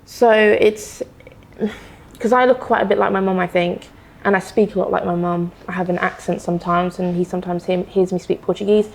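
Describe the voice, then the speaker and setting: A woman guest in a casual podcast discussion, speaking earnestly, haltingly, often trailing off softly. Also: on the low side, fairly even intonation, gravelly.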